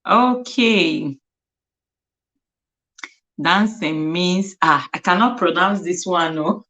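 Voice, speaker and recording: A young Nigerian woman with a lowish voice chats slowly and easily on a livestream. Igbo is her first language, and her English carries a clear Nigerian accent. She laughs often and repeats words over and over as she sounds them out. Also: a bit bright, dull audio. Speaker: livestream host. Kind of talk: casual livestream chat.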